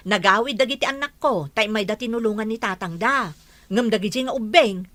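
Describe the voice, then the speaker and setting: High, sharp-edged village mother played by a woman. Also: brisk, dry recording, lightly acted. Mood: insistent. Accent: Ilocano. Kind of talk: Ilocano radio comedy drama.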